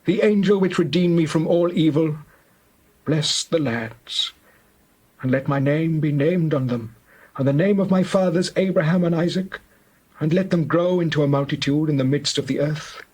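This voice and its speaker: A frail old Hebrew father near death, played by a man in an audio scripture drama. His voice is raspy and sits fairly high. He speaks gravely and deliberately, with solemn tenderness. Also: a little mellow, low-quality recording. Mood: tender blessing. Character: aged, dying Hebrew patriarch, solemn and tender.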